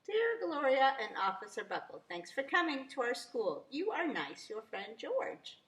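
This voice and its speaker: A woman's voice: high voice, wildly swooping pitch, a little slow, a bit bright, voice pushed up, exaggerated voice. Woman reading as a cheerful schoolchild, echoey. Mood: friendly. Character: friendly, grateful young schoolchild writing letters. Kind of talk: storybook character in read-aloud. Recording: roomy, echoing sound.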